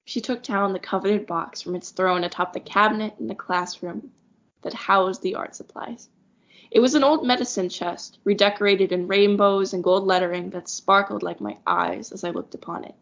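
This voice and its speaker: An actress voicing a lonely, obedient schoolgirl left behind at a school, narrating softly and wistfully in an audio drama. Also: medium pitch, fairly even intonation, normal speed, gravelly. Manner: soft, wistful, a little fearful. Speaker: narrator of the story. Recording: muffled audio with piano score.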